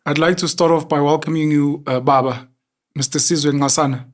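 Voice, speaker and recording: A man's voice: on the high side, fairly even intonation, fairly quick. A man hosting an interview, talking in an effusive, chatty and admiring way. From South Africa. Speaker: host. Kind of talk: interview conversation.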